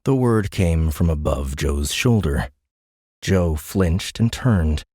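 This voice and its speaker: A man's voice: sits low, a little mellow, breathy edge, no room sound, studio quality. A man narrating a science fiction audiobook in a dramatic, scripted storytelling style.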